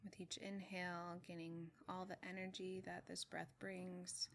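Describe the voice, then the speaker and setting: A woman meditation teacher leading a guided meditation in a gentle, measured way, with long pauses between short phrases. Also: fairly low pitch, little pitch movement. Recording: clearly noisy with room ambience.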